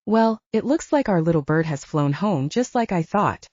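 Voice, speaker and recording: A woman narrator plays a mocking male villain in an audiobook. He taunts in a relaxed, fairly low voice, slightly dulled by the recording. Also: moderate pace, dry recording, dull audio. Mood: smug. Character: smug male villain, mocking gang leader.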